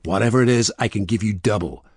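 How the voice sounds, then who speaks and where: A man's voice: lowish voice, quick pace, dry recording, studio quality, pitched above the performer's own voice, close to an everyday voice. A man voicing a panicky, pleading businessman caught cheating, in an audiobook. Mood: anxious bargaining. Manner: flustered, pleading. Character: panicky cheating husband, desperate businessman, bargaining.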